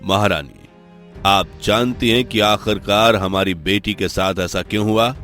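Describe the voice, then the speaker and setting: Low calm king in a cartoon story, polite and worried, played by a man, soft music behind. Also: a little slow. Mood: concerned. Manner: calm, courteous.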